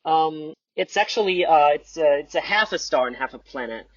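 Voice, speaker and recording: A man chatting casually as a podcast guest, halting and repeating his words as he improvises. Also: on the high side, expressive, a little hiss or hum. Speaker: podcast guest, audio play announcer. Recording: dull, muffled close recording.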